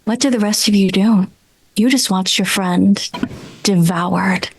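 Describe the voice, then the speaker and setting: A woman running a tabletop game, narrating horror scenes in a deliberate, suspenseful, dramatic style. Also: medium pitch, vocal fry, husky. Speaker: game master.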